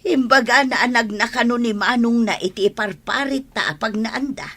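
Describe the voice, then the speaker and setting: A woman's voice: a little high, moderate pace, sharp tone. A woman with an Ilocano accent acting a stern, fretful old village grandmother in a radio comedy drama. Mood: scolding.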